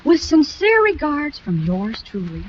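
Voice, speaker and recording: A dreamy, lovestruck teenage girl in an old radio comedy. Her voice is high and animated. The broadcast sounds muffled, with faint noise. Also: unhurried, exaggerated voice. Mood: dreamy, smitten. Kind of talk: 1940s radio comedy acting.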